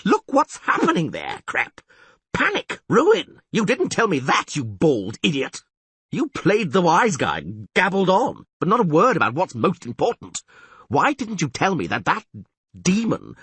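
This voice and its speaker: A man voicing a blustering, panicky middle-aged male town mayor in a fantasy audiobook, shouting and frantic. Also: medium pitch, wildly swooping pitch, normal speed, breathy edge, no echo, voice pushed up, full character voice. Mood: frantic, furious.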